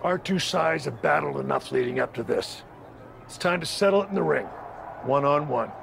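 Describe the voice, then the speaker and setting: Condescending faction leader, very gravelly, measured and stern, game cutscene drama, slight background noise. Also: male voice, a little high, animated. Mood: calm, persuasive. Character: scheming, condescending male wrestling faction leader.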